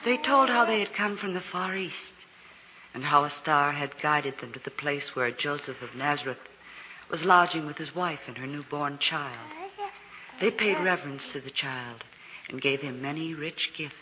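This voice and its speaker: An actress in a 1940s radio drama playing a gentle village mother from biblical times, speaking formally like a storyteller. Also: low-pitched, lively intonation, moderate pace. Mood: calm, kindly. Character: gentle village mother of Bethlehem with young child. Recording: muffled, noisy, reverberant 1940s radio recording.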